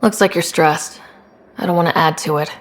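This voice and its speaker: A woman playing a steady, wry young space explorer who leads a crew in a video game, in an understated, naturalistic way. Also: on the low side, normal speed, natural voice. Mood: concerned.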